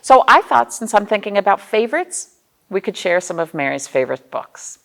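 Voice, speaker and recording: A woman's voice: mid-range voice, sing-song, normal speed, vocal fry, a bit bright. An American woman hosting a storytime for young children, reading aloud expressively and explaining things simply with questions to her listeners. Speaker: children's librarian, storytime host.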